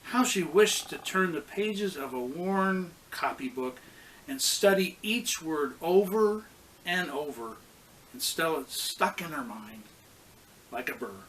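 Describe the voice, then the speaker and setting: A man with a slightly high voice reads a children's storybook aloud. He goes very slowly and deliberately, pausing for expression. There is faint noise behind him. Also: expressive, fairly bright tone, low-quality recording. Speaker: pastor reading a children's story.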